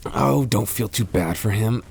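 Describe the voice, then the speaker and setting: A proud vampire lord acted out by a man speaks in a deep, dark-toned voice. He is sardonic and defiant, with a dry, sighing delivery suited to a fantasy ASMR roleplay. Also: vocal fry, a little hiss or hum, exaggerated voice. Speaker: voice actor in a roleplay audio.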